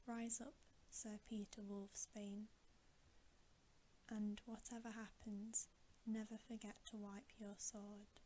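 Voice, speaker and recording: A woman voicing a commanding, solemn great lion king in a breathy whisper, in an ASMR storybook reading. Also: medium pitch, level tone, slow, dark tone, a little hiss or hum, close to an everyday voice, rough recording.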